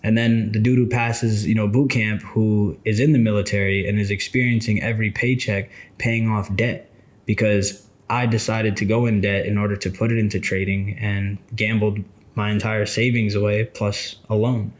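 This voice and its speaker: Man, mid-twenties, somewhat low voice; unhurried, thoughtful mentor talking straight to camera. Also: level tone. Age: 20s. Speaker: trading mentor. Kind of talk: casual reflective monologue.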